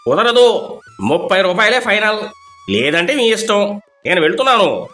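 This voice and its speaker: A man voicing a cunning, dismissive city poultry broker who haggles scornfully in an animated moral story. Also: sits high, moderate pace, soft background music, exaggerated voice. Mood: dismissive haggling.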